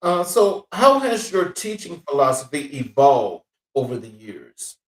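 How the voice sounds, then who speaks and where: A man's voice: a little high, big pitch swings, unhurried. Distant man asking interview questions. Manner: brief prompts and reactions. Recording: roomy, distant mic.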